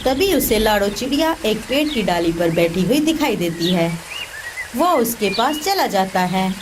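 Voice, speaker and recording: A woman reads scripted narration for a children's story as its storyteller. Clear noise and ambience run behind her voice. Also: mid-range voice, sing-song, normal speed.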